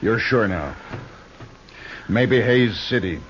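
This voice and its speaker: An actor playing a burly, menacing outlaw boss, gruff and bullying, in a 1950s radio western. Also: male voice, on the low side, lively intonation, slow pace. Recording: muffled, hissy 1950s radio recording.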